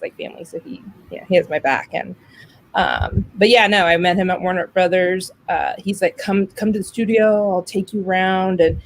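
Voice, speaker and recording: A woman's voice: on the low side, slight background noise, rough recording. A woman hosting a podcast in casual conversation, talkative and telling stories with acted-out quotes. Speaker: podcast host.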